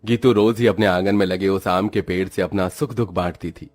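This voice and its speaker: A man works as narrator of a scripted cartoon story. His voice sits fairly low, with music playing underneath. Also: steady tone, a little fast, darkish tone.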